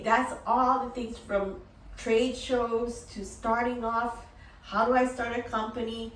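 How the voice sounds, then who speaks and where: A middle-aged woman telling personal stories casually, rambling in an animated way and acting out remembered dialogue. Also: on the high side, a little hiss or hum. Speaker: dog-business entrepreneur and course creator. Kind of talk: casual personal storytelling to camera. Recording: roomy echo, distant from mic.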